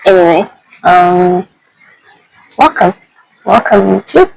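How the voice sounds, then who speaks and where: A young woman vlogging casually, speaking softly with a voice made raspy by a flu, pausing often with ums. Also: on the low side, no room sound, rough recording. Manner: soft-spoken, halting with many ums. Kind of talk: casual personal vlog. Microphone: handheld mic.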